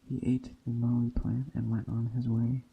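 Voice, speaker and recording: A man softly reading a story in a hushed, gentle ASMR storytelling style. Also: mid-range voice, monotone, moderate pace, creaky, muffled recording, a little hiss or hum. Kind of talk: soft-spoken ASMR reading of a Greek myth tale. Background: soft nature ambience.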